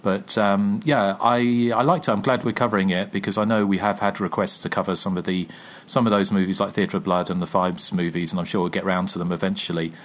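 A man's voice: deep voice, steady tone, a little fast. A man hosting a podcast, chatting about a film and passing the floor to his co-hosts. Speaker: podcast host. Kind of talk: casual film review chat.

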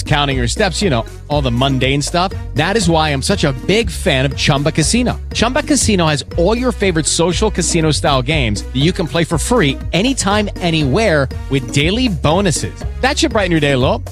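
A man reading an upbeat ad in a chatty, friendly manner. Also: a little high. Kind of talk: upbeat ad read.

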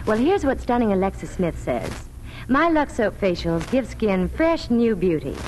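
A woman's voice: mid-range voice, very animated, normal speed, a little mellow, slight background noise, low-quality recording. A woman giving scripted, chatty Hollywood reports and advertising on 1940s radio. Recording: old 1940s radio broadcast recording.

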